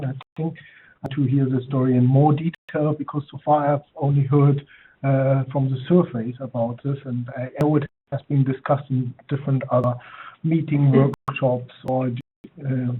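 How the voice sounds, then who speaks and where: A man's voice: mid-range voice, a little hiss or hum. Tinny-sounding male webinar moderator. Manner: fluent but loose, occasional fillers. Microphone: web conference audio. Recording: tinny phone-like web call audio with clicks.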